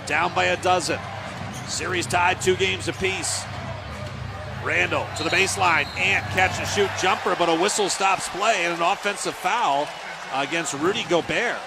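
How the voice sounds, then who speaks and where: A man's voice: sits high, moderate pace, gravelly, a crowd in the background, background noise. An American man calling live basketball play-by-play on the radio in clipped, fragmentary phrases. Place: live sports broadcast from the game.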